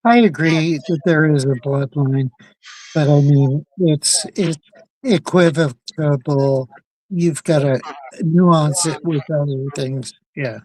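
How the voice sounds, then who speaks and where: Higher-pitched man in a dry-sounding chat. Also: takes it slowly, darkish tone, dry recording, low-quality recording. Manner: agreeing, repetitive. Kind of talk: casual chat.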